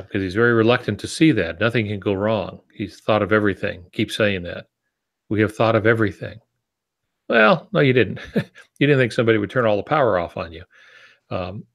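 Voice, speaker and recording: A man's voice: on the low side, gravelly, a bit bright. An American man in his sixties teaching informally with asides, talking on a video call from a home office. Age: 60s. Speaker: writing teacher, podcast co-host. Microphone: wired earbuds on a video call.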